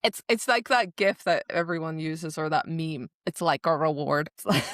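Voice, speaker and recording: A woman's voice: sits low, animated, normal speed, fairly bright tone, dry recording, studio quality. A woman co-hosting a podcast, recapping a story in casual chat and emphatically quoting the characters. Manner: emphatic retelling, acting out characters' dialogue. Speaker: podcast co-host.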